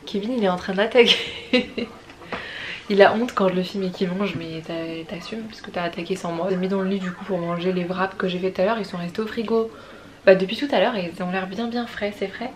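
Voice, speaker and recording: A woman's voice: mid-range voice, fairly even intonation, brisk. A woman vlogging at home on a handheld camera, stuffed up with a head cold, rambling chattily and laughing often. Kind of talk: casual home vlog. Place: home, indoors.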